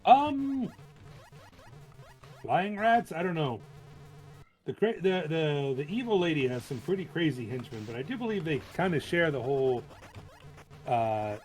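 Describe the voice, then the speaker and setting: A man's voice: a little high, sing-song, unhurried, pauses often, darkish tone, low-quality recording. A man chatting casually as a guest on a game stream, hesitant and agreeable. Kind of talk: casual chat. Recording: music playing faintly behind the voice.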